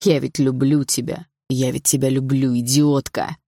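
A woman's voice: rumbling low, normal speed, vocal fry, darkish tone, husky, dry recording, studio quality, full character voice. A woman voicing a menacing, obsessive and smug husband with an insinuating delivery in an audiobook. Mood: angry, bitter. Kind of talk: audiobook character dialogue.

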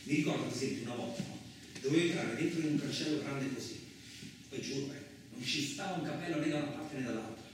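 A man's voice: mid-range voice, quick pace, natural voice. A male actor playing a boastful, rambling truck driver with touchy pride in a chatty, self-justifying radio monologue. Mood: chatty storytelling. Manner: chatty, self-justifying, punctuated with rhetorical asides. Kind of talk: theatrical radio monologue, colloquial storytelling. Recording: very echoey, distant, clearly noisy recording.

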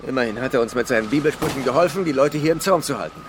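A man's voice: mid-range voice, animated, racing, noisy background, lightly acted. A man playing a ruthless, commanding leader of armed survivors in a horror radio drama, scornful and used to giving orders. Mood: cold, scornful.

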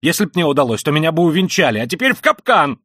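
A man's voice: on the low side, moderate pace, no room sound, studio quality, close to an everyday voice. A man voicing a tormented, proud young man. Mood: furious. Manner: quiet and weary, then bursts into shouting rage. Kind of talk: audiobook reading of a classic novel.

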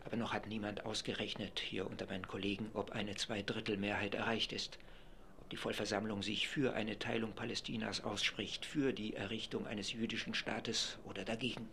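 A man's voice: lowish voice, a little fast, creaky. An actor playing a male radio reporter giving live commentary from a 1947 vote in a radio play. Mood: measured. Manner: steady commentary, swelling to excited announcing. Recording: crowd and noise behind. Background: assembly hall crowd murmur.

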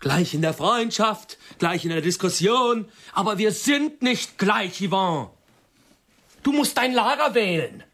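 A man's voice: sits high, lively intonation, a little slow, fairly bright tone, full character voice. An actor playing a bitter, possessive man in a radio drama, sarcastic and theatrical, moving between muttering and declaiming. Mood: mocking, scornful. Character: bitter, possessive man lecturing his old friends. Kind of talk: German radio drama acting.

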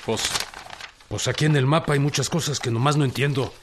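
An actor playing an earnest, plain-spoken peasant leader with a rural Mexican accent in a radio drama. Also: male voice, medium pitch, fairly even intonation, a little fast, noisy background, close to an everyday voice. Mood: respectful, puzzled. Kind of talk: Spanish-language radio drama acting.

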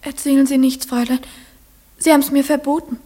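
Casting: female performer as shy, earnest village girl, high pitch, short timid replies, roomy radio-play sound. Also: flat delivery, fairly quick, dark tone, close to an everyday voice. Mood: timid, pleading. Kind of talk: German radio play acting.

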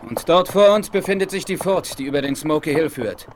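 An actor playing a grave, dignified Apache chief in a German radio play, speaking formally and solemnly. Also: male voice, on the high side, brisk, close to an everyday voice. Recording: hissy, crackly 1970s vinyl record.

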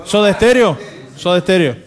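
An Argentine man with a high-pitched voice co-hosts a casual comic radio chat. He is mocking and playful in the way he tells things. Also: expressive, normal speed. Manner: joking, mocking. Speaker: radio show host. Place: bar, live radio broadcast.

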